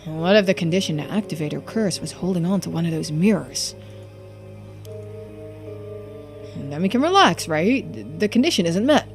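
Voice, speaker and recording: A woman voicing a kind, earnest gentleman hero in a video game, theatrical and quietly resolute. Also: fairly low pitch, animated, a little fast. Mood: wary. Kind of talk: video game character dialogue read-aloud. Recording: music behind the voice.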